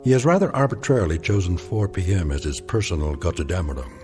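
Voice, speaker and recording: A man narrating a radio drama in a measured, solemn, ominous manner, as the grave teller of eerie tales. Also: deep voice, very animated, music in the background.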